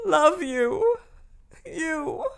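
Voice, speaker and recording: An actress playing a desperate, wounded, scheming mistress in a gothic audio melodrama, overwrought and halting. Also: female voice, sits high, big pitch swings, creaky, full character voice. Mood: bitter, anguished.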